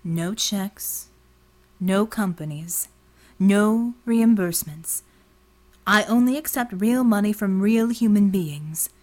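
A woman voicing a cryptic, demanding informant in an audiobook, delicate and breathy, speaking in a carefully neutral, measured way. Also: medium pitch, darkish tone, natural voice. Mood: coolly neutral. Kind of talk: audiobook character dialogue.

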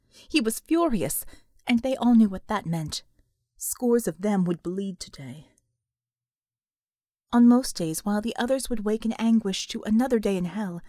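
A woman reading scripted fiction as an audiobook narrator, with measured, suspenseful, dramatic delivery. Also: a little high, darkish tone, dry recording, studio quality.

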